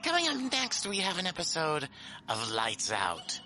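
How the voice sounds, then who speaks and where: Campy gleeful gravekeeper horror host, sharp and all over the place, music underneath. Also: male voice, fairly high pitch, wildly swooping pitch, a little slow, heavily acted. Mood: gleefully macabre. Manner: theatrical, wildly swooping delivery. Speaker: podcast host introducing old radio episodes.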